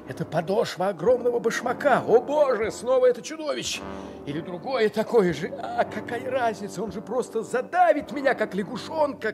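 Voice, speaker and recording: A man playing an alarmed castaway traveller in a radio play, anxiously thinking aloud in halting phrases. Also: sits high. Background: music.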